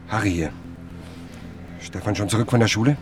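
A man's voice: on the low side, brisk, natural voice. An actor playing an obsessed, self-deluding male writer in a literary radio drama, with music behind him. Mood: pressed, defensive. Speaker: lead actor and first-person narrator.

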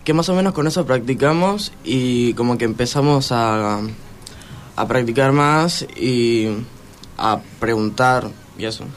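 A teenage boy talks casually as a student guest on the radio. His voice is mid-pitched. There is clear noise behind him. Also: measured pace.

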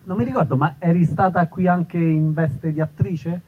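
A man's voice: fairly high pitch, steady tone, moderate pace, husky. A man hosting a live event and interviewing a guest in a casual style. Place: live festival event in an olive grove. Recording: tinny, phone-like live event recording.

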